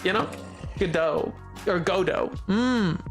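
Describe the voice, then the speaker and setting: A man's voice: high voice, takes it slowly, soft background music. A man streaming and chatting casually with viewers. He talks playfully, rambling with lots of fillers and restarts. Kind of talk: casual live-stream chat, answering viewers.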